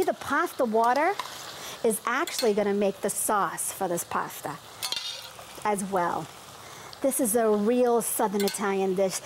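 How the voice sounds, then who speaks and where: Brief: high-voiced woman in her fifties, bubbly chef on a studio kitchen set, faint sizzle and hiss behind. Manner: lively, enthusiastic, sprinkles Italian words. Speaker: TV cooking guest chef. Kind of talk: cooking demonstration.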